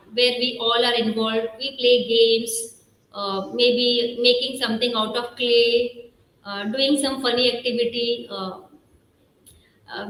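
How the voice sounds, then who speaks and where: A middle-aged woman speaks high and a little hoarse. She talks as a school principal in an interview, chatty and earnest, full of small fillers. Her voice rings in an echoey room over faint noise. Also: fairly bright tone. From India. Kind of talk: interview answers.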